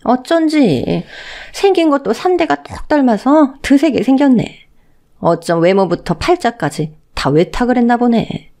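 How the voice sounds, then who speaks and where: A woman plays a haughty middle-aged mother-in-law in a radio story drama. She sneers and berates with open scorn, her pitch leaping up and down as she piles on insults. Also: expressive, dark tone. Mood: sneering. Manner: sneering, rapid-fire berating.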